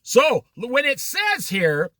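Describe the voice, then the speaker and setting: Bright, lively-voiced man teaching. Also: extremely high pitch, slow, dry recording, studio quality. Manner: animated, challenging rhetorical questions; jokey asides. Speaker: Bible study teacher. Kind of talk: informal Bible study talk.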